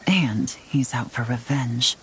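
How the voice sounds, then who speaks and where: Very low-voiced, composed woman strategist. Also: slow pace, a bit bright, a little hiss or hum, full character voice, low-quality recording. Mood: concerned. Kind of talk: dramatic game dialogue.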